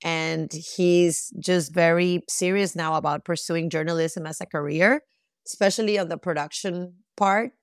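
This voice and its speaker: A middle-aged woman from Costa Rica speaks as an interview guest in a slightly low register. The sound is dry and close, and she answers in a conversational way. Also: fairly even intonation, unhurried, smooth, no echo.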